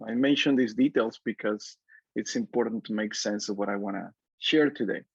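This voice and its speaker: A man's voice: on the low side, normal speed, gravelly, husky, no room sound, dull audio. A man in his forties from Peru with a Peruvian Spanish accent, speaking Spanish as his first language, giving an earnest, reflective panel talk with many fillers and restarts. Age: 40s.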